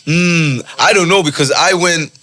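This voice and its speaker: A man's voice: a little high, a little slow, pushed and bright. A man hosting a podcast, chatting casually with slang and easy laughter. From Nigeria. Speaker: podcast host. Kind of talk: casual chat.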